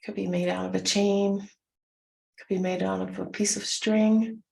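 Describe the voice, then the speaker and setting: A woman leading a guided meditation, gently instructing in short, soothing phrases with unhurried pauses. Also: medium pitch, level tone, gravelly.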